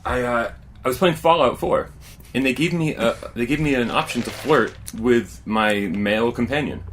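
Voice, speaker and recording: A young man hosting a podcast in casual chat, with dry, deadpan humor. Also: medium pitch, normal speed. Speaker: podcast host.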